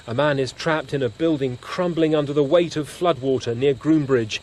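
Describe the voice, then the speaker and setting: A man's voice: on the high side, normal speed, darkish tone. A man with a British accent delivering scripted news narration as a television reporter.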